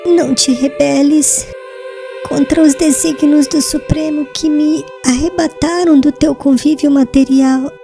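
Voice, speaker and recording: A woman playing a gentle, devout dying young bride in an audiobook drama, speaking weakly, slowly and tenderly in a halting way. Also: high voice, fairly even intonation, vocal fry, faint music behind. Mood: frail, consoling. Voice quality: weak, coughing.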